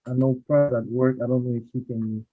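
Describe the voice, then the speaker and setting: A man hosting a casual online chat, talking in an animated, insistent way. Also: mid-range voice, brisk, no room sound. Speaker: host. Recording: dull lo-fi sound.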